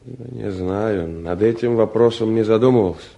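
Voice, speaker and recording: An actor playing a shy, stubborn young Siberian newcomer in a radio play, speaking in a blunt, colloquial, awkward way. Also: male voice, on the low side, normal speed, gravelly, mellow. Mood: awkward, guarded. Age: young adult. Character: shy, stubborn, plain-spoken provincial youth from Siberia. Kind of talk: 1950s radio play acting.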